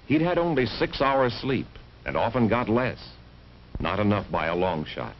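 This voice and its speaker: A man's voice: on the low side, sing-song, normal speed. A man narrating a vintage 1950s educational film in a scripted, dramatic storytelling manner with rhetorical emphasis. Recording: muffled, hissy old film soundtrack.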